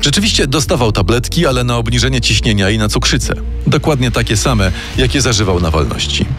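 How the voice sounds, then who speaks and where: A man narrates a true-crime story in a measured, dramatic radio style. His pitch moves a lot, and music plays clearly beneath his voice. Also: animated. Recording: narration over loud music.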